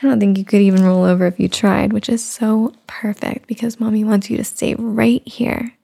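A woman in a soft-spoken roleplay, whispering and cooing in a soothing way. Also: medium pitch, little pitch movement, normal speed. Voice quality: whispery, breathy. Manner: cooing, soothing, talks as to an infant. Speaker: roleplay performer.

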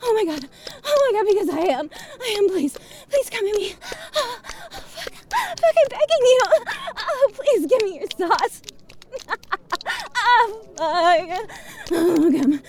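Adult female restaurant worker, played by a woman, erotic audio drama: exasperated, sweary, very high pitch, slow delivery with wide swings. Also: animated, a crowd in the background, exaggerated voice. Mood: aroused, pleading. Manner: breathy exclamations, pleading, swearing. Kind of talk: explicit erotic audio roleplay.

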